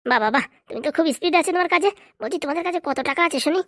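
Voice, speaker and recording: A woman's voice: very high voice, rapid-fire, a bit bright, dry recording, studio quality. A woman playing a sly, greedy nurse in a comedy cartoon, chatty, pushy and wheedling. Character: sly, greedy female nurse in a hospital scam.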